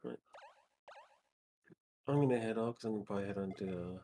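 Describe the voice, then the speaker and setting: A young man chatting casually as a stream guest, relaxed and laughing easily. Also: lowish voice, a little fast, slight background noise. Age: young adult. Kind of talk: casual chat. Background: music playing.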